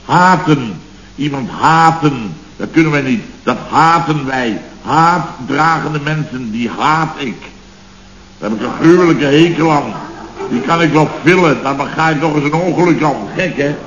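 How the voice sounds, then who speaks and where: A man's voice: fairly high pitch, measured pace, fairly bright tone. An actor playing a self-important, muddled male contractor boss in a vintage radio comedy, blustering and stammering as he repeats himself. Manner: pompous, repetitive, stammering bluster. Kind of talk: 1970s radio comedy acting. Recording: roomy, muffled, noisy old radio recording.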